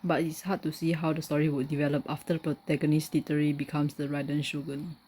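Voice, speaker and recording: Low-voiced woman playing a game. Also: flat delivery, fast, dark tone, slight background noise. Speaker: gamer playing and commenting. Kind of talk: casual gameplay commentary and reading text aloud. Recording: game music behind the voice. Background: game music.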